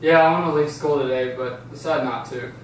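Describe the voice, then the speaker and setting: A shy, nervous teenage boy who longs for a friend , read by a man at an amateur table read. The voice is slightly high. It comes from some distance in a very echoey room. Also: fairly even intonation, brisk, close to an everyday voice. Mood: casual, friendly. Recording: echoey room, distant mic, faint noise.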